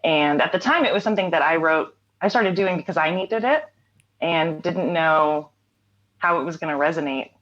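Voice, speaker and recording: A woman talking casually as an interview guest, relaxed, with frequent fillers and easy laughter. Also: sits low, normal speed, lo-fi sound. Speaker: interview guest, writer and songwriter.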